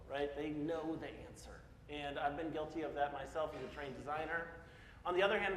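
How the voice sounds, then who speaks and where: A Midwestern man in his forties gives a conference talk from the stage, casual and self-deprecating, checking in with the crowd as he goes. His voice sits a touch high for a man. The sound is roomy with a long hall reverb and a faint hiss underneath. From Peoria, Illinois (US Midwest). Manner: casual, self-deprecating, asks the audience questions. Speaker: speaker at a public talk. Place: large hall in front of an audience. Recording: echoey hall with faint noise.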